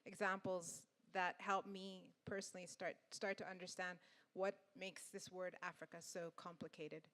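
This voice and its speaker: A woman giving a public talk in a reflective way, pausing and restarting. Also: on the low side, level tone, a bit bright. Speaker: guest speaker. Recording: hall reverb.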